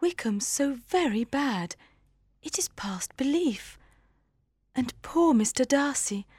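A soft-hearted young woman speaks in a tender, breathy voice pitched far above a man's, put on by a male audiobook narrator. She sounds gentle and sympathetic. The storybook reading is dry and close. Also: lively intonation, measured pace, no room sound, exaggerated voice. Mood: tender dismay.

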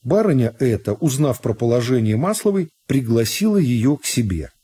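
A man reads literary narration for radio in a measured, deliberate storytelling manner. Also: medium pitch, very animated, dark tone, breathy edge. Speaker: narrator of a radio reading of a classic novel.

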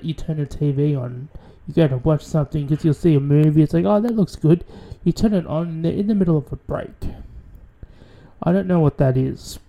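A man with an Australian accent, rambling through a casual radio monologue, swearing freely and restarting his sentences. His voice is very dark and mellow in tone. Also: a little high. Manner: rambling, profane, many restarts. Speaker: radio show host.

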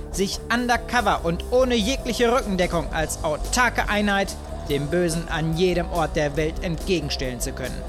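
A man acts as a bombastic horror radio play narrator. His voice is high for a man, solemn and grandiose, over clear music. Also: close to an everyday voice. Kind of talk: scripted dramatic narration.

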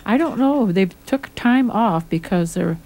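A woman's voice: medium pitch, animated, unhurried, darkish tone, poor audio. A woman from Michigan hosting a casual radio talk show, steering the conversation. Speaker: radio host.

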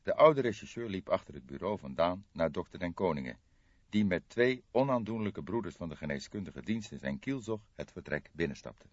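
A man with a low voice narrates crime fiction dramatically. His pitch moves in a lively way, and the recording is very dry and slightly dull. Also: fairly quick, no echo. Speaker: audiobook narrator.

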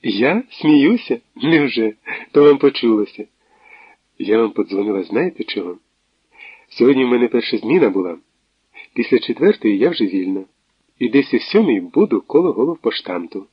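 A man voicing a lively young woman who teases and laughs over the phone, in an audiobook reading. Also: mid-range voice, normal speed, slightly rough, no room sound, lo-fi sound. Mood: mocking. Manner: laughing, teasing, chatty.

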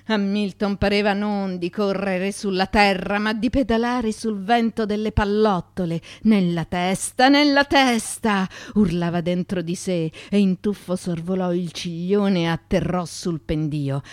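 A woman reading literary fiction as radio theatre, with intense, theatrical narration and deliberate phrasing. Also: mid-range voice, no echo. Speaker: actress reading a novel.

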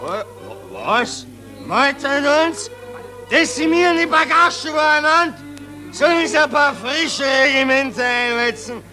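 Casting: befuddled Austrian army commander, very high excitable voice, stammering bluster, music and revellers behind. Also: male voice, takes it slowly, heavily acted. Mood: flustered blustering. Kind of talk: satirical radio play acting. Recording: music and noisy crowd behind.

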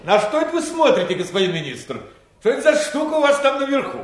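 A man's voice: extremely high pitch, big pitch swings, fast talker, echoey room, over music. A man playing a brash, blunt, impatient warrior prince in a theatrical fairy-tale audio play. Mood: blunt, curious.

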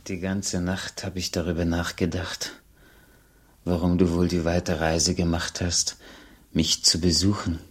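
An older man acting a tired, kindly former robber in a radio play, plain-spoken and husky. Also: low voice, level tone, unhurried. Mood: plain, wary.

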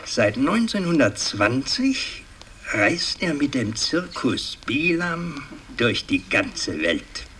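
An actor playing a pompous, fussy older aristocratic patriarch in a radio drama, speaking in a halting, ceremonious, long-winded way. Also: male voice, mid-range voice, a bit bright. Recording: distant, noisy 1960s radio recording.

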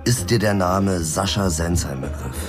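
Actor as a deep-voiced, weary broke investigator. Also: male voice, moderate pace, close to an everyday voice. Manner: dry, grumbling, sardonic. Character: weary, broke, grumbling male amateur investigator. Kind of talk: naturalistic German radio crime drama acting. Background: music behind the voice.

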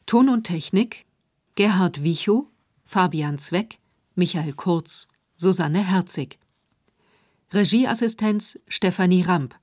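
Slow, low-voiced woman announcing, close and dry. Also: darkish tone, no room sound. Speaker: radio play announcer. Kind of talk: scripted radio credits announcement.

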